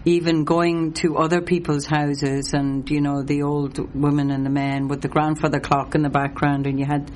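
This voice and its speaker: A woman's voice: low-pitched, level tone, moderate pace, few pauses, a little mellow, slight background noise. Reflective Irish woman from Donegal. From Donegal, Ireland. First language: Irish Gaelic. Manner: hesitant, restarts often, reflective. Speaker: singer, interview guest. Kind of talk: casual interview chat.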